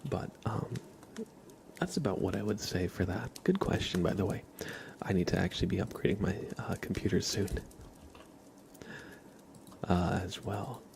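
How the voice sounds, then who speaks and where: A man chatting softly as an ASMR livestream host, hushed and often slipping toward a whisper. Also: sits low, normal speed, gravelly, long pauses, a little hiss or hum. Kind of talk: soft-spoken ASMR livestream chat.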